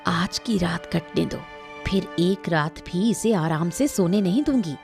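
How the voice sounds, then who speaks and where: A woman's voice: on the low side, animated, a little slow, gravelly, a little mellow, soft background music. A woman playing a scheming, scornful, threatening mother-in-law in a cartoon moral story. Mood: menacing. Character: scheming, spiteful mother-in-law of a rich household.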